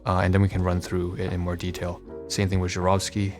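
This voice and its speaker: A man's voice: sits low, monotone, a little fast, music in the background. A man hosting a casual, unscripted screen-share walkthrough, rambling along and often correcting himself. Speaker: podcast host demonstrating his websites.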